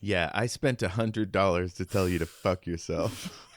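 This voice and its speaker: A man's voice: deep voice, a little slow. A man hosting a podcast, casually chatting about a book. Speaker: podcast host. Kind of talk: casual book discussion chat.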